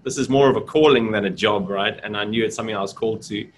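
A man's voice: lowish voice, fast talker, a little hiss or hum. Earnest man from South Africa. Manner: earnest, reflective, lots of fillers. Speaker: guest, school deputy principal. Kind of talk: casual interview chat. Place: indoors, small room, video call. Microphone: video call webcam.

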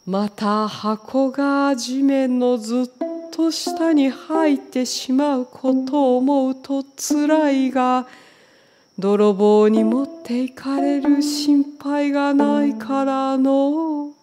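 A woman's voice: high voice, steady tone, takes it slowly, mellow, soft background music. A woman voicing a regal old king in a storybook, with stately, old-fashioned speech. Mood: wistful, formal. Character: old canary king, male, regal, fond of treasure.

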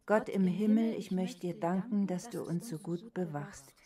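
An actress playing a fearful woman who relives her childhood in a trance, halting and intense, in an audio drama. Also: lowish voice, crowd noise, close to an everyday voice. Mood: entranced, solemn.

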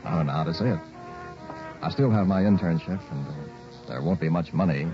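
An actor playing an earnest, suspicious young medical student in a grave, measured 1960s radio drama style. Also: male voice, low-pitched, natural voice. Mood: tender. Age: young adult. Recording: muffled old radio recording, loud music behind.

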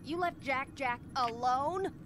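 A woman voicing a determined, sharp superhero mother in an animated game, firm and urgent. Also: high voice, takes it slowly, heavy background noise. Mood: stern. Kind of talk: animated film-style game dialogue.

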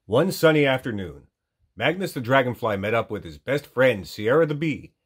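A man narrates a children's storybook. His mid-pitched voice is husky and moves in animated rises and falls, as a storytime reader. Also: normal speed.

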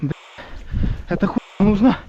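Field soldier, man, high voice, curt commands, profane. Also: animated, warm tone, dull audio, slight background noise. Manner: brisk, commanding, swearing. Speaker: soldier on a raid. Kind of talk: live field talk recorded on a body camera.

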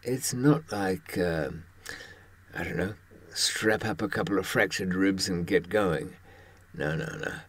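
Husky older man, low and animated. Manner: wry, halting, with pauses for thought. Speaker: presenter talking from personal experience. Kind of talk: conversational monologue to camera.

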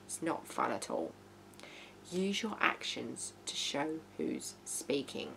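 A woman's voice: a bass voice, wildly swooping pitch, slow pace, creaky, fairly bright tone, rough recording. A woman in her thirties giving blunt, emphatic writing advice in an informal video from a living room. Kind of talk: informal video tutorial, talking to camera. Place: small living room indoors. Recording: hum and background noise.

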